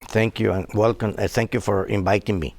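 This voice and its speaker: A man in his sixties from Cuba, speaking as a physician guest in a TV interview, earnest and halting with many fillers. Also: fairly low pitch, fairly even intonation, darkish tone.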